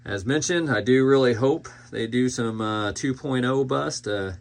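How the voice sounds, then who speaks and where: A man's voice: medium pitch, a little slow, creaky. A man giving a casual, informal collector's product review, speaking hesitantly as he trails off and circles back over his points.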